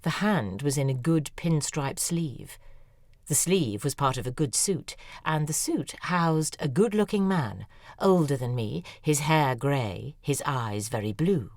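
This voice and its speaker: A woman's voice: deep voice, expressive, a little slow, a little mellow, dry recording, clean studio sound. An actress narrating in first person as an acerbic, weary female novelist in an audio drama. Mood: wry. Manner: sardonic, weary. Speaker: narrator and lead character, a novelist.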